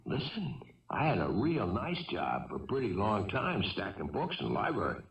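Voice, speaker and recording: A man's voice: lowish voice, wildly swooping pitch, moderate pace, distant mic. An actor playing a troubled young fugitive killer in a 1970s radio drama, defensive and childlike, stammering and repeating himself as he pleads. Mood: defensive, agitated. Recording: roomy, muffled old radio broadcast.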